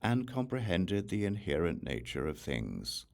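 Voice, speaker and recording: A man with a very low voice narrates a sacred Buddhist text as an audiobook. His pitch moves in lively swings while the delivery stays measured and solemn. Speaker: audiobook reader. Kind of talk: scripted narration of Buddhist scripture.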